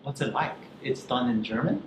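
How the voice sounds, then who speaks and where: A man's voice: medium pitch, moderate pace. A man hosting a podcast interview, asking curious questions and reacting with short interjections. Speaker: podcast host. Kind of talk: casual interview chat. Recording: echoey room with faint noise.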